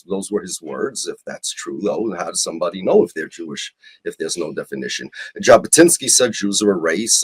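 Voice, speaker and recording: A man with a husky, mid-pitched voice and highly varied intonation. He talks as an interview guest making an impassioned case, stressing points through repetition and rhetorical questions. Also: rough recording. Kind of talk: impassioned argumentative interview talk.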